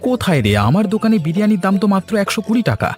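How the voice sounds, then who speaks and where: A man's voice: high-pitched, sing-song, very fast, a little mellow, voice pushed up. A haughty food-stall owner from a cartoon village tale, played by a man. He is scornful and dismissive, and light music runs behind his voice. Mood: smug. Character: arrogant, scornful biryani shop owner. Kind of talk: animated moral story voice acting.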